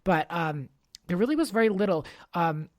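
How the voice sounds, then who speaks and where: A man's voice: sits high, animated, moderate pace, no echo, clean studio sound. A man hosting a podcast, chatting casually about pop culture in an opinionated, talkative way. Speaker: podcast host.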